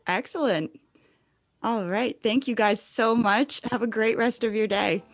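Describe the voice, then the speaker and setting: A woman's voice: on the high side, measured pace, faint music behind. A woman hosting a casual podcast interview, encouraging and effusive toward her guest. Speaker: podcast host.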